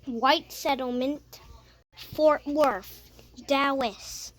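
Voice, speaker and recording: High-pitched, slow amateur weather announcer. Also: fairly bright tone. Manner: halting, with stumbles and self-corrections. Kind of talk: amateur mock TV weather emergency broadcast.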